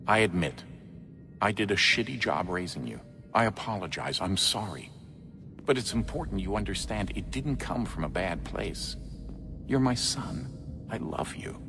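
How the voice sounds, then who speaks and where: An actor playing a stern middle-aged father in a game, speaking in a halting, earnest, remorseful way. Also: on the low side, lively intonation, music in the background. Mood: apologetic. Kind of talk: video game cutscene acting.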